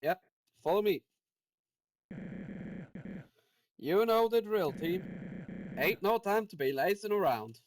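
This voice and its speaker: A man's voice: high-pitched, animated, moderate pace, slight background noise, voice pushed up, poor audio. A man voicing a folksy, theatrical cowboy sheriff with a hammy western drawl for a fan game dub. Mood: upbeat.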